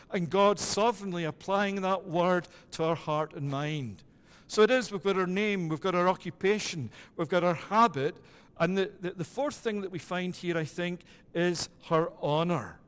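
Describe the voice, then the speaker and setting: High-voiced Scottish pastor preaching. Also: male voice, clear, resonant tone, in a large hall. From Scotland. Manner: measured preaching with repeated "it is that" phrasing. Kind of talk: sermon.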